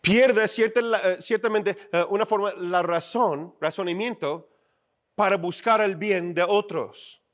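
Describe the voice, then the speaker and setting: A middle-aged man preaching a sermon to his church in a chatty, animated way, acting out short scenes and checking in with his listeners. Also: high-pitched, a little slow. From outside Mexico. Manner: conversational, animated, acts out little scenes and asks "¿no?". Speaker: pastor. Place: church stage.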